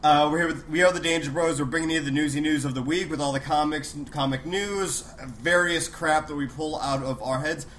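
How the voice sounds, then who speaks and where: A man with a bright, forceful and slightly high voice co-hosts a loose podcast. He sounds a little stuffed up from a cold and rambles along, cracking jokes at his own expense. A faint hiss sits under the recording. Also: fairly even intonation, fairly quick, hardly any pauses. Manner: rambling, jokey, self-deprecating. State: slightly sick, congested. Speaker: podcast co-host. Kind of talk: casual podcast banter.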